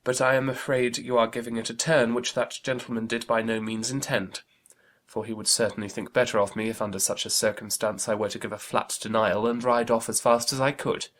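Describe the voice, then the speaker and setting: An actor playing an amiable, impulsive young gentleman with exclamatory, formal speech in a period audiobook drama. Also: mid-range voice, fairly even intonation, a little fast, natural voice. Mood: good-humoured. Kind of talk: dramatised classic novel audiobook.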